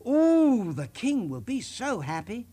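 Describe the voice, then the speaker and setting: A man voicing an earnest, kind young storybook prince full of wonder, with a gentle hesitant stammer. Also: very high-pitched, huge pitch swings, takes it slowly, slightly rough, pitched above the performer's own voice, heavily acted. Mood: delighted wonder.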